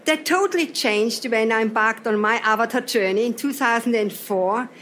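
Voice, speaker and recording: A woman's voice: on the high side, moderate pace, fairly bright tone. Austrian woman leading a workshop talk. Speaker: seminar trainer. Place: event hall.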